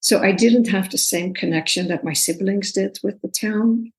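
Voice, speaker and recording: A woman in her sixties from the Netherlands, a guest talking thoughtfully and warmly in a podcast interview, with easy laughs. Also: mid-range voice. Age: 60s. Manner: thoughtful, measured, laughs easily. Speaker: guest, personal trainer. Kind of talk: casual interview talk.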